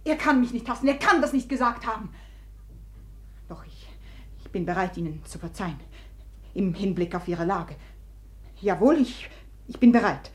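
An actress in a German radio drama playing a proud, unhappy woman who taunts her rival, theatrical and swinging between hushed and imperious. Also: medium pitch, lively intonation, moderate pace, pauses often, close to an everyday voice. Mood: wounded, proud.

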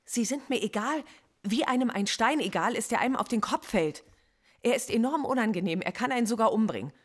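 An actress playing a philosophy professor under interrogation in a radio play, curt and defiant. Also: female voice, a little high, lively intonation, fairly quick.

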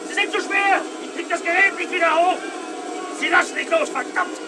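Radio drama: frantic helicopter pilot, very high strained voice, clipped reports, roaring noise. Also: male voice, fairly even intonation, normal speed. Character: frantic male helicopter pilot losing control. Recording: loud helicopter noise over radio play.

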